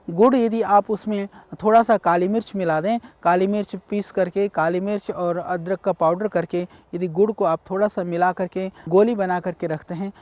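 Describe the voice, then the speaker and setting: A man from India giving a measured, explanatory health talk on ayurveda, speaking directly to viewers. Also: sits high, darkish tone, dry recording. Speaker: ayurveda teacher.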